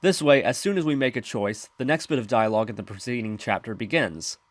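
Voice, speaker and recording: A man hosting a software tutorial, explaining steps in scripted voice-over narration. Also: mid-range voice, fairly quick, no room sound. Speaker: tutorial host.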